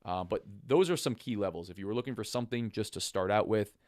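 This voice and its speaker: A hoarse man with a raspy smoker's voice, chatting casually to viewers as the host of a livestream, with an informal, buddy-like way of explaining things. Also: lowish voice, quick pace, darkish tone, dry recording, clean studio sound. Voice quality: raspy smoker's voice, partly lost. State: hoarse, voice half gone. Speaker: host of a live trading stream.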